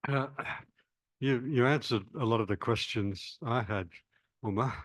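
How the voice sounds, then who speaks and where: A man's voice: mid-range voice, a little slow, no room sound. Hesitant man asking from the audience. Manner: hesitant, polite. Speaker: audience member asking a question.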